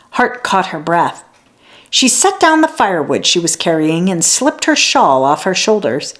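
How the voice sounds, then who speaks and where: A woman reads a children's story aloud in an expressive, deliberate way. Her mid-range voice moves freely up and down as she tells the tale. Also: mid-range voice. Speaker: children's read-aloud narrator. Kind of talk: scripted storybook narration.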